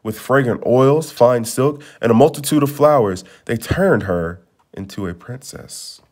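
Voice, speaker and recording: Expressive man reading a storybook aloud. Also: mid-range voice, unhurried. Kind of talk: scripted storybook narration.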